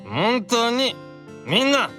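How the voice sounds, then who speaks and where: Bright, tipsy, affectionate demon brother. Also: male voice, very high voice, sing-song, slow pace, soft background music, heavily acted. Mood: drunkenly affectionate. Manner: slurred, childlike drunken earnestness. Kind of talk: Japanese anime-style audio drama.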